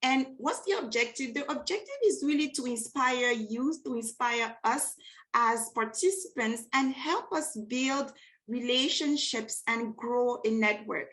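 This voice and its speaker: High-voiced woman hosting an event. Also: measured pace, fairly bright tone. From Cameroon and France. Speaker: event host introducing a guest speaker. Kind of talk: welcome speech at an online networking event.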